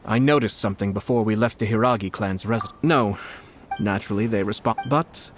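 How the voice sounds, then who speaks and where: A man's voice: fairly low pitch, brisk, lightly acted. An actor playing a composed, courteous young nobleman in a video game, with formal phrasing and a slyly playful streak. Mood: composed, shrewd. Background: faint music.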